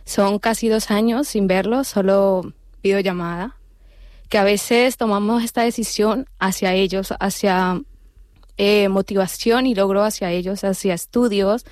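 A nervous woman in her thirties with a Cali, Colombian accent, speaking as a radio guest in an earnest, halting way. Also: mid-range voice, steady tone, a little mellow, no echo. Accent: Colombian Spanish, Cali.

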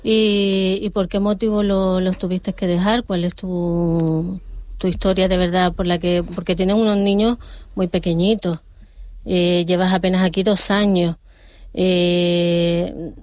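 A woman radio host who interviews her guests gently and reassuringly, with many fillers and restarts. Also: fairly low pitch, level tone, a little slow, no room sound.

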